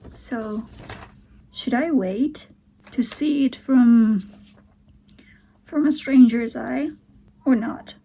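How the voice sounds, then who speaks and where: Slow, gravelly-voiced Turkish woman musing aloud. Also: medium pitch, rough recording. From Turkey. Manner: hesitant, musing, drifts into soft whispered asides. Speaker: vlogger. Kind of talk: casual thinking-aloud vlog. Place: at home, sitting on the floor beside a heater.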